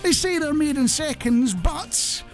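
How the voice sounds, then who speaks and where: Bloke doing a chatty radio show, really animated up and down voice, jokey and self-mocking, talks right to the listener. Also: male voice, extremely high pitch, a little slow, soft background music. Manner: jokey, self-deprecating, addresses the listener directly. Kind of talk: chatty light-hearted radio presenting. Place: radio studio.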